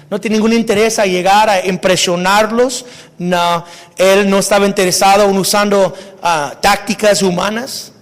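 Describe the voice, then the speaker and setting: A man preaching a casual, halting sermon, often restarting words and dropping slang into his talk. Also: high voice, big-room sound. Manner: casual preaching mixing Spanish with English slang. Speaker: pastor. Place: church sanctuary.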